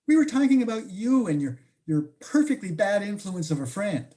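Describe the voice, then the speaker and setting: A woman's voice: mid-range voice, very animated, moderate pace, mellow, lightly acted. A woman acting a prying, incredulous close friend in a conversational comic one-act, with teasing, probing questions.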